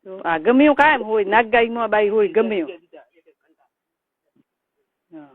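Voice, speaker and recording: A woman's voice: mid-range voice, lively intonation, measured pace. Blunt Marwari woman over a phone line. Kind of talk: casual private phone conversation. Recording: tinny, narrow phone-call recording.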